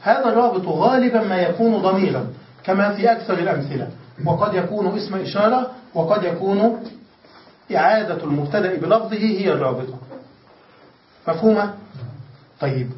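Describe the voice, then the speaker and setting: A man's voice: high-pitched, expressive, brisk, long pauses. A man teaching a classroom lesson who explains step by step, restates his points and keeps checking that his students follow. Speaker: grammar teacher. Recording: echoey room, distant lo-fi mic.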